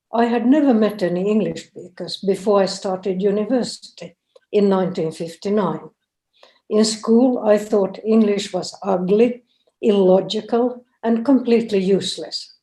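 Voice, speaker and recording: A woman, giving a careful, deliberate academic lecture over a video call. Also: mid-range voice, mellow, poor audio. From Finland. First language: Finnish and Swedish. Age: 80s. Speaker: guest lecturer.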